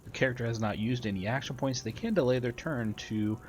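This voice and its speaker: Casual man commentating a game, mid-pitched. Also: a little fast, darkish tone, soft background music. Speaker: gameplay video host. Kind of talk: casual game commentary, reading tutorial text aloud. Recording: faint background noise, game ambience.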